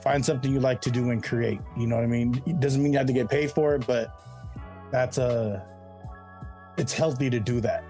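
A man's voice: medium pitch, steady tone, normal speed, warm tone, soft background music. A middle-aged man talking as a podcast guest, laid-back and rambling, full of slang and "you know what I mean". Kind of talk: casual interview chat.

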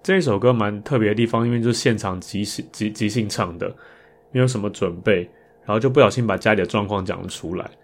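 Male podcast host, low voice, Taiwanese Mandarin accent, conversational and reflective solo talk. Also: fast talker. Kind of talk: casual podcast monologue about improvised songs.

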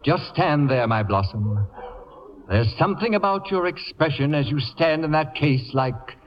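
Pompous, acerbic wit, old radio comedy. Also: male voice, a little high, animated, unhurried, a little hiss or hum. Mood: mock gushing. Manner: grandiloquent, sardonic. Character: pompous, acerbic, scheming male wit and houseguest in a wheelchair. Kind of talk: 1950s radio comedy acting. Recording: dull vintage 1950 radio recording, long reverb.

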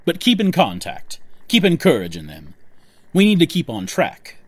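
A man's voice: on the high side, wildly swooping pitch, moderate pace, husky, no room sound, heavily acted. A man playing a controlling, impatient faction leader in a sci-fi audio drama, talking in a clipped, commanding, weary way. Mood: worried, driven.

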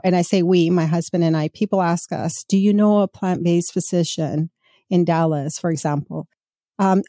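A woman's voice: lowish voice, mellow, dry recording, clean studio sound. A woman hosting a podcast, asking questions in a friendly, chatty way with light teasing. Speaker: podcast host. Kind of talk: podcast interview.

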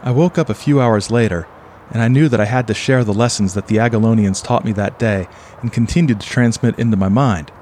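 A man's voice: fairly low pitch, brisk, a little mellow, a little hiss or hum, natural voice. A man playing an earnest, naive, slightly hesitant motel UFO believer in an audio play. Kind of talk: audio play acting and first-person narration.

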